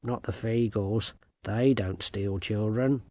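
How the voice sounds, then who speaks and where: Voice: mid-range voice, slow, a little mellow, dry recording, lightly acted. A narrator voicing a wary toad advisor in a storybook, dry, hesitant and understated. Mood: gloomy, wary.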